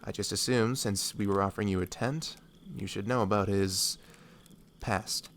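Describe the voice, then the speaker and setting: A man voicing a laid-back, blunt but kindly woodsman, casual and unhurried, in a fantasy audio roleplay. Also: fairly low pitch, fairly even intonation, a little hiss or hum, lightly acted. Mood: matter-of-fact. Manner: casual, unhurried, matter-of-fact.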